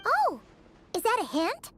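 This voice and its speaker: A woman voicing a small, excitable, curious sprite companion in a video game, with a childlike, squeaky, chatty delivery. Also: a little slow, music in the background, full character voice. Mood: startled curiosity. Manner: exclamatory, chatty, thinking aloud.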